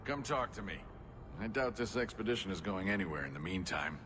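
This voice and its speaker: A man's voice: fairly low pitch, animated, brisk, close to an everyday voice. A man voicing a wry, persuasive dwarf rogue deal-maker in a video game. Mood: coolly teasing. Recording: reverberant hall, noticeable ambient noise.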